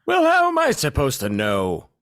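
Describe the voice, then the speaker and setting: Man playing a wildly animated hapless rescuer. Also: a little high, normal speed, dry recording, clean studio sound, heavily acted. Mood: flustered. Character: dim, easily offended, hapless zombie-squad rescuer. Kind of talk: comic audio play acting.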